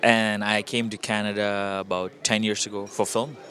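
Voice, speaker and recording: A man's voice: on the low side, little pitch movement, moderate pace, bright, forceful tone, some chatter behind. A man from Indonesia giving casual interview answers as a filmmaker, upbeat and reflective.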